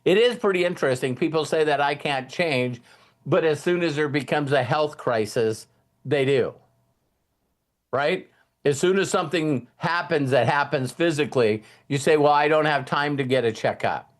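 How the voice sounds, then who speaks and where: A man with a slightly high voice speaks to a group as host of a motivational talk. His delivery is informal and conversational, and he often checks that listeners are following him. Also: moderate pace.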